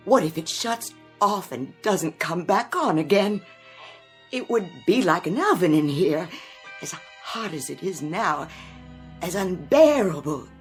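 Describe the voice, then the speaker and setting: A fragile, anxious older woman pleads in a tremulous voice. She speaks slowly with big swings in pitch, in 1960s television drama style, with music behind. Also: heavily acted. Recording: vintage TV soundtrack with music behind.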